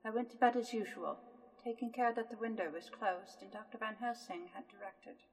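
A woman's voice: on the high side, fairly quick, a little mellow, natural voice. An actress playing a frightened young woman in a radio drama, talking in a breathless, dreamy way. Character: young woman, frightened, fading under a spell. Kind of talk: 1930s-style radio drama acting. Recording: reverberant, distant from the mic, with music.

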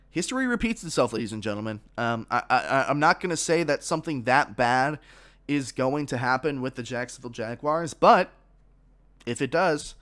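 A man's voice: medium pitch, fairly bright tone. A man hosting his own sports podcast, giving opinions with many fillers and restarts, a little congested from a frog in his throat.

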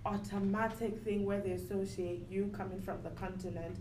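A soft-spoken young woman from Sierra Leone chatting casually as a podcast co-host, telling stories with quoted asides. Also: mid-range voice, steady tone, normal speed. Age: young adult. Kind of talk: casual conversational chat. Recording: echoey room, distant mic, background noise.

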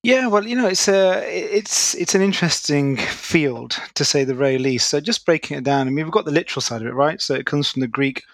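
A man, a guest orthodontist explaining his field in a podcast interview, articulate and quick, with restarts. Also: on the high side, lively intonation, fairly bright tone, no room sound. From Manchester, England. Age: 30s.

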